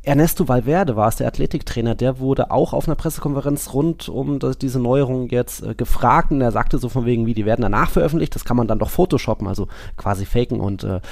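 A man with a gravelly voice, co-hosting a casual football podcast and thinking aloud in a rambling way. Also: medium pitch, quick pace, few pauses, darkish tone. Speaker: podcast co-host.

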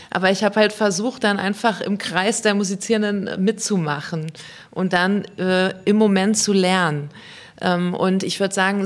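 A woman speaking as a music education expert in a panel discussion, talking in a thoughtful, reflective way with some fillers. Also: mid-range voice.

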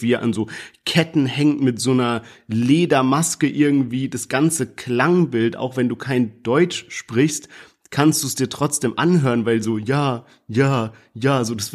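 A young man co-hosting a casual podcast chat, hedging his views in a slangy, easygoing way. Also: medium pitch. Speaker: podcast co-host. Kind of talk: casual chat about rap releases.

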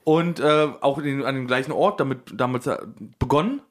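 A man co-hosting a podcast, telling stories casually in a halting, amused, self-correcting way. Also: medium pitch. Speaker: podcast co-host. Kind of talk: casual anecdotal chat.

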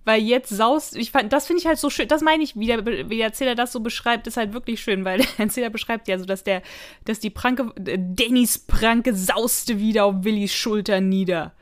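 A woman's voice: on the high side, moderate pace. A woman co-hosting a podcast, talking in a chatty, informal way as she reviews an audio drama. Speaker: podcast co-host.

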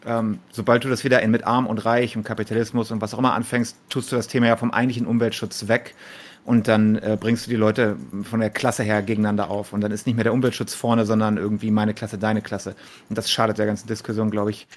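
Low-pitched, quick man debating. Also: little pitch movement. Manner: contrarian, argues back. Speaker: podcast co-host. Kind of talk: casual debate among friends.

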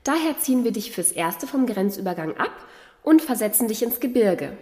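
Woman playing a high-voiced, animated princess. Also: moderate pace, breathy edge. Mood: concerned. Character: kind, composed princess who gives orders. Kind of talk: German fairy-tale audio play acting.

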